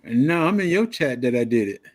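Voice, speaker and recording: An older man, in a voice of middling pitch. He talks good-naturedly on a livestream, stammering and starting his sentences over. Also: very animated, brisk. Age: 60s. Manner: stammering restarts, Southern vernacular, good-natured. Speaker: livestream co-host. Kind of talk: casual livestream chat.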